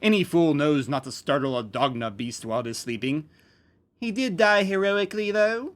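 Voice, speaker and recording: A man's voice: on the high side, lively intonation, moderate pace, fairly bright tone. A man voicing a vain, boastful knight in an amateur fantasy story reading, with haughty, formal speech. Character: pompous, boastful male knight, theatrical and vain.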